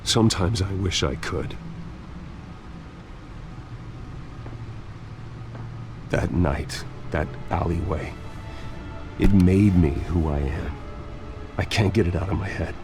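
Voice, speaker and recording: An American man playing a brooding, determined vigilante haunted by his past in a video game, speaking tersely and grimly. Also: low voice. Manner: terse, grim, deliberate. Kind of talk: dramatic video game voice acting. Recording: loud music behind the voice.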